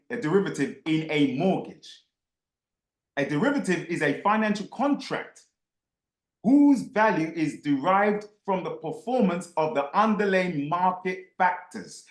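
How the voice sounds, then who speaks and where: A man leads a live online discussion in a high, very animated voice. He is emphatic and insistent, often repeating phrases to press his point. The sound is echoey and roomy. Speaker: host of an online book club discussion.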